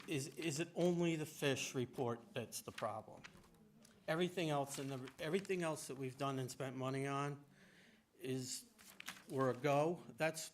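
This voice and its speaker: A man making his case informally as an applicant at a public meeting, halting and repeating himself insistently. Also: mid-range voice. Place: indoor municipal meeting room. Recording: noisy town meeting room.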